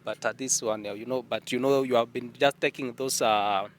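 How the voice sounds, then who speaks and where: A man vlogging outdoors in a village, slowly explaining things on a casual tour and laughing often. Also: mid-range voice, steady tone, slight background noise. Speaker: vlogger giving a farm tour. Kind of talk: casual guided tour chat.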